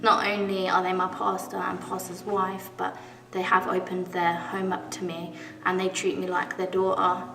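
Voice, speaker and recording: A woman in her twenties giving an open, reflective church testimony in a soft voice. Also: on the low side, monotone, normal speed, gravelly. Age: 20s. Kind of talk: testimony interview. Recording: echoey hall, mic far off, music behind.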